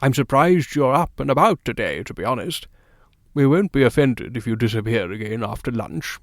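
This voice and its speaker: A man's voice: mid-range voice, animated, moderate pace, dry recording, natural voice. A man voicing a polite male doctor with a dry, amused way of speaking.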